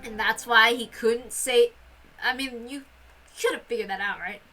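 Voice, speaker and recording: High, bright-voiced woman reading aloud. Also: a little slow, soft background music, rough recording. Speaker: reader and playthrough host. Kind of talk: visual novel narration with casual asides.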